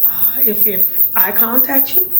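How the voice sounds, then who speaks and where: A middle-aged woman with a raspy voice, hosting a casual vlog. She talks in a chatty way, repeats words for emphasis and laughs often. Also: fairly high pitch, measured pace. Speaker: channel host, surplus funds recovery business owner. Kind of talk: casual talking-to-camera vlog. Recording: roomy echo with faint room noise.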